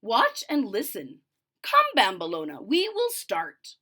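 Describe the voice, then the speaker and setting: A woman voicing a calm, wise witch teacher in a storybook, measured, instructive and firm. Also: medium pitch, breathy edge, heavily acted. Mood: instructing.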